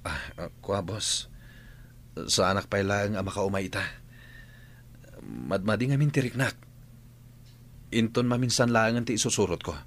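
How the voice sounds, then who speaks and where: Low-voiced brooding gunman. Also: normal speed, long pauses. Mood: pained, apologetic. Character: brooding male gunman, reluctant gang member plotting in secret. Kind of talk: radio drama acting with inner monologue. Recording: old AM radio drama, noisy.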